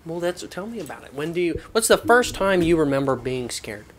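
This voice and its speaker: Guy doing a bossy tv therapist, kinda high voice, gets loud and pushy, comedy sketch. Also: big pitch swings, a little fast. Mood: insistent. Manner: bossy, loud pitch jumps when insisting. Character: pushy, self-important male TV therapist, blunt and bossy. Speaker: host.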